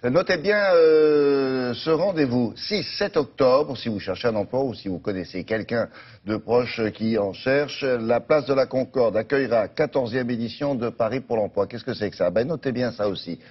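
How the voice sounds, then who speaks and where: A man's voice: medium pitch, normal speed, husky, muffled recording. A man presenting a live morning TV show in a casual, offhand, conversational way. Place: TV studio.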